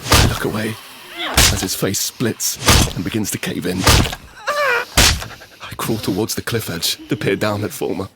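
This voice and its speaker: An actor playing a frightened survivor in an audio drama, narrating urgently in the first person and present tense in fragmented phrases. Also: male voice, on the low side, steady tone, normal speed, pauses often, heavy background noise, natural voice. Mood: tense, horrified. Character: desperate, frightened male survivor of a monster apocalypse. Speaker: narrator and lead character.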